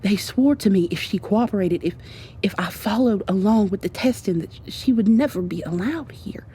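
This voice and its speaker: A woman voicing a weary, frightened imprisoned mother with breathy, faltering speech in an ASMR roleplay drama. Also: mid-range voice, normal speed, dark tone, a little hiss or hum, close to an everyday voice. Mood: distraught.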